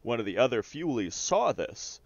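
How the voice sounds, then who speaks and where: A man's voice: mid-range voice, very animated, moderate pace, no room sound, lo-fi sound. A man casually telling rambling, profane personal stories as a streamer over gameplay. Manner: rambling, profane, often chuckles while talking.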